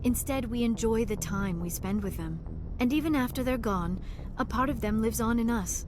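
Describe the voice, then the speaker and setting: A woman voicing a gentle, bookish, socially awkward female alien scientist in a video game, with formal, precise phrasing. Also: on the high side, normal speed, noisy background, close to an everyday voice. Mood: thoughtful, wistful. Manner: formal, precise diction with few contractions. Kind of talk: video game dialogue acting.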